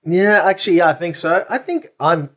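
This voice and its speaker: Lively, jokey male podcast co-host. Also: high-pitched, moderate pace, muffled recording. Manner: chatty, jokey, hedging with restarts. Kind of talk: casual chat.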